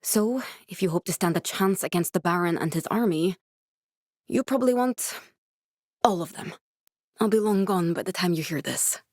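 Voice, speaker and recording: A woman voicing an earnest, guarded young female scientist survivor in a video game, speaking in a measured, serious way. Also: lowish voice, a little mellow, no echo, clean studio sound, close to an everyday voice. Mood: earnest, explanatory. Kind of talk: dramatic video game dialogue.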